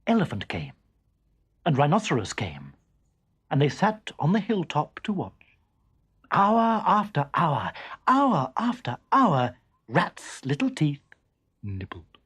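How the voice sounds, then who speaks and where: A man narrating a children's story dramatically, expressive and suspenseful, savouring every sound word. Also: a little high, slow pace, long pauses, breathy edge.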